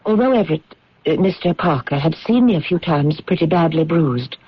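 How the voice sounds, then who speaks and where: A woman's voice: sits low, lively intonation, brisk, slightly rough, dry recording. An actress playing an anxious widow in a radio drama, earnest and hesitant as she speaks. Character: anxious British widow confessing a secret to officials. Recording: dull, muffled old radio broadcast.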